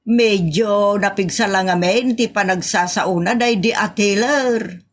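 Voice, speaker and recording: A woman's voice: mid-range voice, unhurried, natural voice. A woman with an Ilocano accent acting a sassy, easily scandalised village woman in a comedy audio drama. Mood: teasing.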